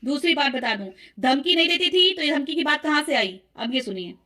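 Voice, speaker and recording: A woman's voice: high-pitched, lively intonation, fairly quick, sharp tone. A woman vlogger giving heated commentary to viewers, accusatory and insistent as she addresses them directly. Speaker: vlogger presenting call recordings.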